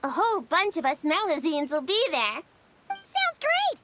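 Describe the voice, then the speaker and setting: A woman's voice: wildly swooping pitch, unhurried, background noise, full character voice. A woman voicing a small, cute, childlike female fairy creature in a video game, cheerful and earnest, with exclamatory delivery. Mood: cheerful, chatty. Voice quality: high, bright, childlike.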